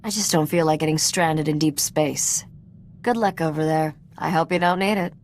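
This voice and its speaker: A woman's voice: low voice, moderate pace, a little mellow, a little hiss or hum. A woman playing a sly, self-assured treasure hunter and ship mechanic in a video game, dry and teasing. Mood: dry, concerned. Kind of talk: video game cutscene acting.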